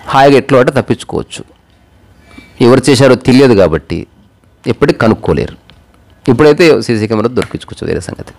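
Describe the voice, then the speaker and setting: A man's voice: fairly low pitch, a little mellow. A man shares moral tales and anecdotes. He talks conversationally, slipping in English words and voicing the people in his stories as he goes. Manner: conversational, acts out dialogue, mixes English words into Telugu. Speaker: storyteller. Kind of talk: casual moral storytelling with personal anecdotes.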